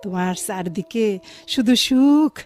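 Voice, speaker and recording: Actress as an animated, affectionate old village auntie. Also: female voice, medium pitch, soft background music, close to an everyday voice. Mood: tender. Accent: rural Bangladeshi. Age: older. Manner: halting, pleading, repeats phrases. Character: affectionate, apologetic old vagrant auntie taken in. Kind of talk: Bengali radio drama acting.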